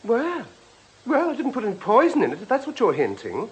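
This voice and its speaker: High-voiced, gushing, catty young acolyte. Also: male voice, huge pitch swings, brisk, heavily acted, rough recording. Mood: defensive. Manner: affected, gushing, melodramatic. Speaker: actor in a radio detective drama. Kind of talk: radio drama acting.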